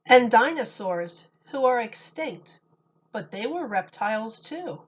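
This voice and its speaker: A woman reads a children's picture book aloud like a teacher, taking her time over each phrase. Her medium-pitched voice has a slightly husky edge, and her delivery is expressive and deliberate. The recording sounds a little dull and lo-fi.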